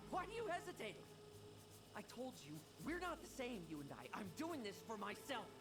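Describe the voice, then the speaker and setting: An actor playing a cold, ruthless schemer who gives clipped, commanding orders. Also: male voice, very high-pitched, wildly swooping pitch, a little fast, music in the background, full character voice. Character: cold, ruthless male schemer chasing power. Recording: game audio with background noise.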